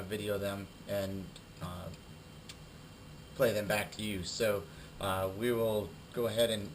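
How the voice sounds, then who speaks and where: A young adult man with a cold giving a recorded class presentation, halting and full of fillers and repeated words. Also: lowish voice, slight background noise, poor audio. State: has a cold, losing his voice. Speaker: student presenter.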